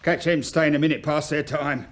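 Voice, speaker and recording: An actor playing a chatty, plain-spoken storekeeper with a working-class London accent in a British radio drama. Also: male voice, fairly high pitch, steady tone, moderate pace, natural voice. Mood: matter-of-fact. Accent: working-class London English. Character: chatty, matter-of-fact male depository storekeeper.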